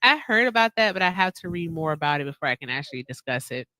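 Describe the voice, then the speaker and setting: A young woman hosts a casual livestream in a bright, forceful voice. She teases and presses her guest bluntly. The sound is close and dry. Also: low-pitched, very animated, fast, no room sound. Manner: teasing, blunt, pushes guests for answers. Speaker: livestream host. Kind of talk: casual live-stream chat.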